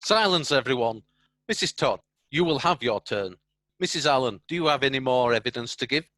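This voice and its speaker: An actor playing a stern, pompous magistrate with an authoritative manner in a period courtroom play. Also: male voice, medium pitch, animated, brisk, a bit bright, natural voice. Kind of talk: theatrical courtroom play acting.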